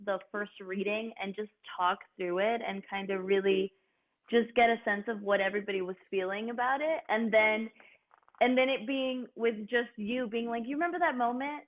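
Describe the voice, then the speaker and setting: A woman's voice: on the high side, narrow, tinny audio. A woman, an actress, chatting casually on a panel and searching for her words, heard over thin call audio.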